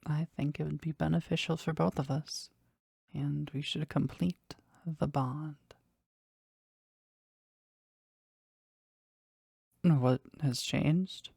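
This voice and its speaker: A woman playing a powerful, jealous demon devoted to their master in an intimate audio roleplay, speaking deliberately and intensely in a husky, breathy voice. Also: steady tone, a little mellow, no room sound, studio quality, full character voice. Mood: earnest, possessive. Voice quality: husky, breathy, low creaky voice. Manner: slow, deliberate, intense, dramatic.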